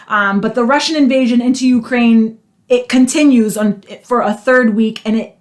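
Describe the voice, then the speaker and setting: Woman hosting, earnest, filler-heavy. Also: a little high. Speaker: host. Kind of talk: casual talk show hosting.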